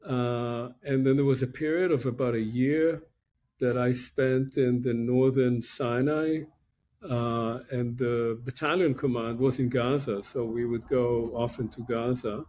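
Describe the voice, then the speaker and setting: A man in his sixties from Israel, a guest professor giving reflective, measured interview answers with many pauses over a laptop video call from a room at home. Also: medium pitch, fairly even intonation, a little mellow. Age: 60s.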